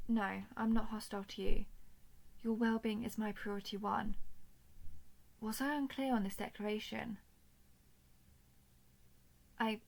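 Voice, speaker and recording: Woman acting as a stoic, creaky-voiced robot soldier. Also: medium pitch. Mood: calm, reassuring. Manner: formal, measured, emotionless delivery. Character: stoic, dutiful, protective female robot soldier. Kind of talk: scripted audio roleplay addressed to the listener.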